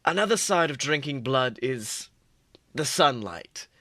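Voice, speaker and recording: A man's voice: medium pitch, lively intonation, measured pace, pushed and bright, no room sound. A man reading aloud the lines of a smug, scheming male demon in a fan fiction, in a dry, matter-of-fact way.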